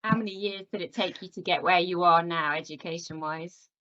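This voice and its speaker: A woman moderates an online careers Q&A with short, polite questions. Her low voice has a bright, clear edge. The sound is dull, like a video call. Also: fairly even intonation, a little fast, dry recording. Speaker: host.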